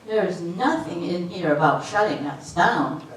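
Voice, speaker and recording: A woman playing a reasonable, level-headed secretary with a calm, matter-of-fact delivery on stage. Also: lowish voice, measured pace. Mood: calm, skeptical. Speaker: amateur stage actor. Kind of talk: readers theater stage performance. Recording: echoey hall, distant mic, faint noise.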